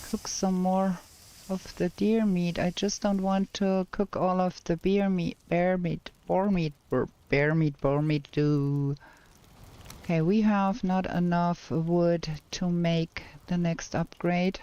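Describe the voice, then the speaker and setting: A woman's voice: fairly low pitch, fairly even intonation, slow, warm tone, clear, resonant tone. A woman with a German accent streaming a game, thinking aloud and chatting with her viewers as she plays. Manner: talks to herself and chat while playing, thinking aloud. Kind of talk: casual gameplay commentary.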